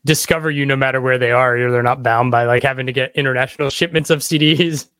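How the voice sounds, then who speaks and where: A man's voice: medium pitch, fairly even intonation, fast talker, a bit bright, no echo. A man hosting a podcast, asking questions in a casual, loosely phrased way. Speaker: podcast host. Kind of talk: casual interview chat.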